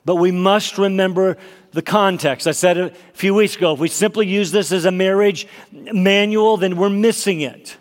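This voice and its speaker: A man preaching to his congregation, his voice a bit nasal from a cold. He sits fairly high for a man and climbs further when he stresses a point. The sound rings with long reverb through the church hall. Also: moderate pace. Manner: emphatic, rising for key points. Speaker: pastor. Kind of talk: sermon. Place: church sanctuary.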